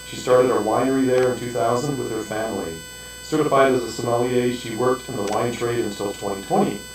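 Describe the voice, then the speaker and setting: A man's voice: mid-range voice, fairly even intonation, fairly quick. A man casually hosting a poetry reading and introducing readers in a bookstore. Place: bookstore event. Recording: very echoey distant room mic, music, hum.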